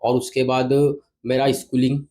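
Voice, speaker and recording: An Indian-accented man speaks as an interview guest in a mellow, mid-pitched voice. His delivery is conversational and explanatory, with polite address to the interviewer. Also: flat delivery, unhurried. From Indore, India. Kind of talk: conversational interview answers mixing Hindi and English.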